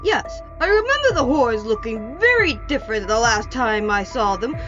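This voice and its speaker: A woman's voice: on the high side, sing-song, muffled recording, over music. A woman voicing a suspicious, melodramatic detective for a comic video skit, pondering theatrically. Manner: slow, theatrical, deliberate pondering.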